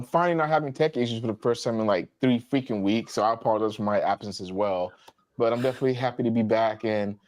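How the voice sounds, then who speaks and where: A man's voice: mid-range voice, darkish tone, dry recording. A man co-hosting a casual podcast, eager to get into the news. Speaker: podcast co-host.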